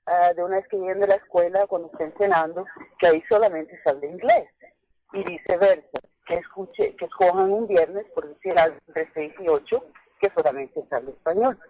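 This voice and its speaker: A woman, an English speaker, giving earnest conversational advice as a radio caller, halting and repeating words for emphasis. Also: lowish voice. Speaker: phone-in caller, English teacher. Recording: muffled telephone line on radio.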